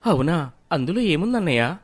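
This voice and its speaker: A man playing an innocent, wronged younger brother, a humble villager with a soft, plaintive manner. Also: on the high side, very animated, normal speed, breathy edge, dry recording, clean studio sound. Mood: innocent, hurt.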